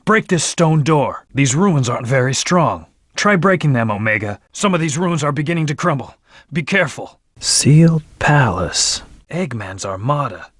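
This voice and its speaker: A man's voice: fairly high pitch, dry recording, studio quality. A man playing a brooding, proud young antihero in a video game, speaking in terse, serious commands with a hard edge. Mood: commanding, urgent, then grave, musing. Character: brooding, proud, amnesiac male antihero warrior. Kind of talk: short in-game hint and battle lines.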